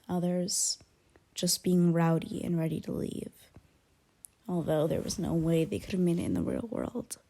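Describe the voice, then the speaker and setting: A woman playing an aloof, grudgingly caring young werewolf in an ASMR roleplay, soft and breathy with whispered dips and blunt, guarded, halting delivery. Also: on the low side. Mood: wistful, guarded. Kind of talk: ASMR roleplay, intimate one-sided character dialogue.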